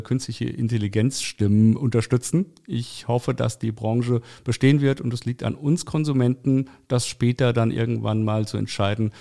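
A man's voice: medium pitch, vocal fry, warm tone. A man from Hesse, Germany, speaking as a podcast guest in casual interview talk, earnest and somewhat hesitant. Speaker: podcast guest, audio drama producer and director. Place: indoor studio, in person with host.

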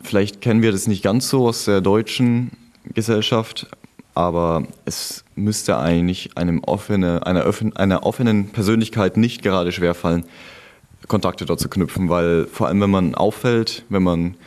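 Young german guy, deep voice, chatting casually as a guest in an interview. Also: moderate pace, a little mellow. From Germany, born in Frankfurt and living in Franconia. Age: young adult. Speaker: interview guest, returned volunteer abroad. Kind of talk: casual conversational storytelling.

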